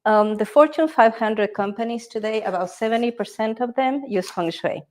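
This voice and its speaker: A woman's voice: a little high, normal speed. A woman from Ecuador, giving a live workshop presentation as a feng shui consultant. She speaks conversationally and often checks in with the audience through tag questions.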